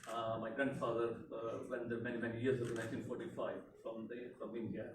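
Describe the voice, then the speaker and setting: A man's voice: mid-range voice, monotone, fast. A middle-aged man from Tanzania, an elected politician, giving an unscripted speech at a community event, hesitant with many fillers and restarts. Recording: echoey room, mic far off, background noise.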